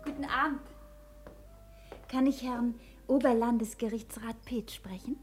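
An actress playing an anxious, polite young wife searching for her husband, earnest and emotional, with a breathy voice. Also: on the high side, steady tone, unhurried, soft background music, natural voice. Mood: polite, eager. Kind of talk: mid-century German screen acting.

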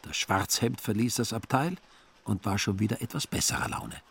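A man with a husky voice narrating a scripted literary story for a radio play. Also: lowish voice, moderate pace, fairly bright tone.

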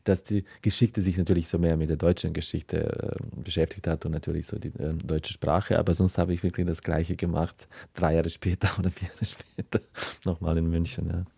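A man from Serbia speaks as a writer being interviewed. His voice is low and mellow in a dry recording, and he explains things hesitantly, feeling for the right words. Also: little pitch movement, brisk, gravelly, slightly rough, dry recording.

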